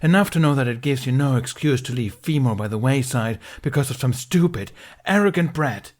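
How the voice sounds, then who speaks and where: A man's voice: mid-range voice, lively intonation, a little fast, voice pushed up, natural voice. A man voicing a furious, grieving middle-aged knight who speaks in a heated, accusing, raised voice in an audiobook reading.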